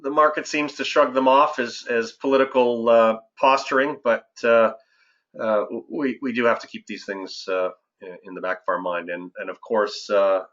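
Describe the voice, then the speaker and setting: A man giving measured, somewhat halting financial market commentary on a conference call as an investment adviser. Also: mid-range voice, fairly even intonation, fairly bright tone. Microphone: conference call line. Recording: dull, lo-fi conference call audio.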